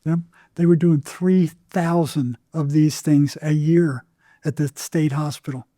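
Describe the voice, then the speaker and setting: An older man telling his experiences conversationally as an interview guest, in a halting way with repeated words. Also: on the high side, steady tone, mellow, no room sound, clean studio sound. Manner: halting, repeats words, acts out remembered exchanges. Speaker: psychotherapist guest.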